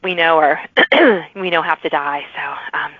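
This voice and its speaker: A woman's voice: deep voice, measured pace, no room sound. Chatty woman podcast host, dull audio. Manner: chatty, rambling with many restarts. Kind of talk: casual interview chat.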